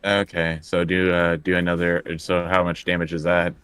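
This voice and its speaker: A man's voice: sits low, monotone, normal speed, fairly bright tone, smooth. A man chatting casually as a player in a tabletop game, hesitant and full of questions.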